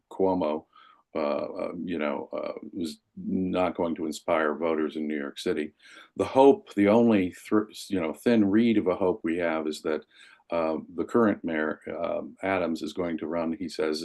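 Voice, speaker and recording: A man's voice: low voice, vocal fry, a little mellow. A man speaking as a guest on a political podcast, emphatic and wordy, heard over a video call. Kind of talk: political commentary chat.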